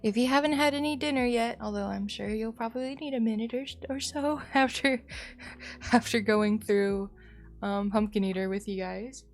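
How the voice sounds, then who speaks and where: A woman with a fairly high voice hosts a casual livestream with faint music behind her. Her talk is playful and expressive, wandering from one thought to the next, with a slightly sleepy feel. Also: moderate pace. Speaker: live streamer, host. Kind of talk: casual chatty livestream sign-off.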